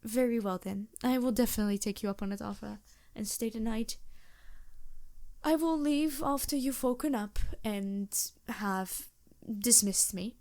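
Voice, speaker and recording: Woman acting a high-voiced, polite vampire woman. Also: a little slow, darkish tone. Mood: polite, reassuring. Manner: formal, hesitant, earnest phrasing. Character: polite, anxious two-hundred-year-old vampire woman, gentle and formal. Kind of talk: fantasy ASMR roleplay acting.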